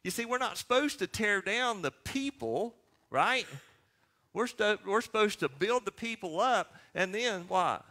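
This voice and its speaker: A man's voice: sits high, lively intonation, unhurried, pushed and bright. A man in his sixties preaching a sermon as pastor, speaking emphatically and posing questions that he answers himself. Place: church sanctuary.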